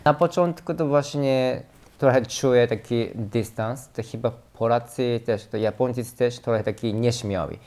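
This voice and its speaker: A man's voice: medium pitch, moderate pace, a little mellow. A Japanese man, a non-native speaker, answering hesitantly and thoughtfully. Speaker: interviewee. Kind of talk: casual interview answers.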